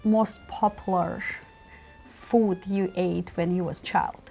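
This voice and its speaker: A woman's voice: fairly low pitch, steady tone, slow, vocal fry, warm tone, faint music behind. A woman from Ukraine, a native Ukrainian speaker, acting as a judge and asking blunt probing questions.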